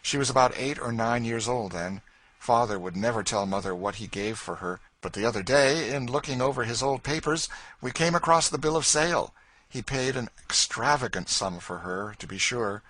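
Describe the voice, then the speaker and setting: A man reading an earnest young gentleman. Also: mid-range voice, a little fast, voice pushed up, lightly acted, rough recording. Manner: earnest, warm-hearted recounting. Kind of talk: audiobook character voice.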